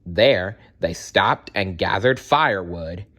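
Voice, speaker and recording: A man reads a fairytale aloud for children. His voice is a little low in pitch but bright and forward. He is expressive and talks straight to his young listeners. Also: slow. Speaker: storyteller.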